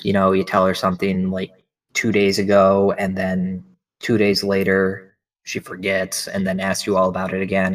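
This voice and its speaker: A man's voice: distant mic, poor audio. Joking man playing a tabletop game. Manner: animated, jokey, quick pitch jumps. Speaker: player. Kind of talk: casual roleplay chat.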